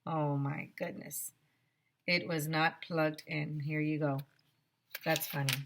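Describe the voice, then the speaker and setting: An American woman, a teacher, reading a children's story aloud in an expressive way. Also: deep voice, slow. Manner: expressive, acting out dialogue.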